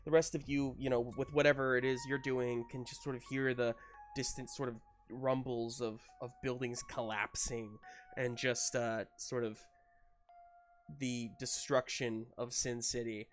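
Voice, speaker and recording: A male game master with a mid-pitched voice improvises narration for a tabletop game. His phrasing is casual and halting, over faint music. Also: flat delivery.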